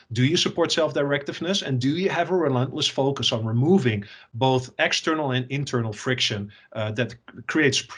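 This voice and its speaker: Dutch guy talking business in an interview, lots of uh and um, mid pitch. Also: a bit bright, breathy edge. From Netherlands. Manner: many fillers and repeated words. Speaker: software company executive. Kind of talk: interview answers.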